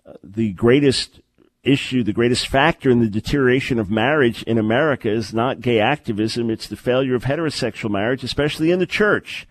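A man's voice: medium pitch, fairly quick, poor audio. A man hosting a call-in radio talk show, talking in an earnest, emphatic way and repeating words to stress a point.

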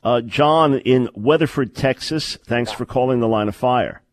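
A man hosts a call-in radio show, pressing his points with earnest emphasis and doubled words. His voice sits at a middling pitch and comes through close and dry, with no room echo. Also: measured pace. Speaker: radio talk show host.

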